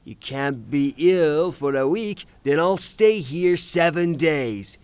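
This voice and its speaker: A man's voice: fairly high pitch, animated, takes it slowly, darkish tone, clear, resonant tone, no echo. A man playing an angry, blustering, woman-hating creditor, ranting theatrically in an audio drama. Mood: defiant, sarcastic. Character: angry blustering male creditor, hot-tempered woman-hater.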